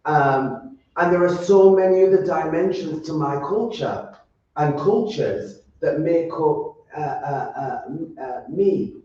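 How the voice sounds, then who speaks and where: Yorkshire woman panelist, unhurried, halting, recorded far off in a boomy hall. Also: expressive, dark tone. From Yorkshire, England. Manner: earnest, halting, repeats and restarts words. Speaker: roundtable panelist. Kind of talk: roundtable discussion. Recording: echoey, distant, dull sound.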